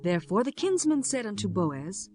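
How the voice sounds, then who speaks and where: A woman narrating a scripture audiobook in a measured, formal way. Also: medium pitch, sing-song, warm tone, soft background music.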